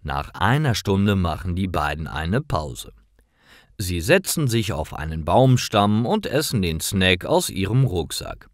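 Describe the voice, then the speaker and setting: A man narrating simple scripted stories for language learners in a careful, expressive way. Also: low-pitched, a little slow, dry recording, studio quality. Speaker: storyteller for German learners.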